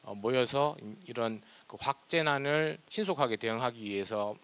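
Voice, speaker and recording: A man's voice: mid-range voice, normal speed, a bit bright, clean tone. A man with a South Korean regional accent, presenting formally as a government official in a nervous, hesitant way. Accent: South Korean regional dialect.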